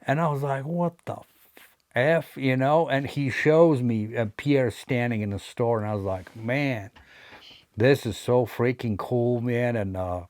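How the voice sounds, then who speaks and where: Slow-talking Latvian-accented man, dry close sound. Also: mid-range voice, a little mellow, no room sound. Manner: rambling, many fillers and restarts. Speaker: vlogger, hat collector. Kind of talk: casual storytelling to camera.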